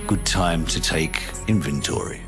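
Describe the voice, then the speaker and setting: An actor playing a grim, world-weary British soldier, delivering a slow, brooding, solemn monologue in a gravelly voice. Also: male voice, extremely low pitch, lively intonation, exaggerated voice. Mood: grim resolve. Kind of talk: scripted dramatic monologue. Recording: loud music under the voice.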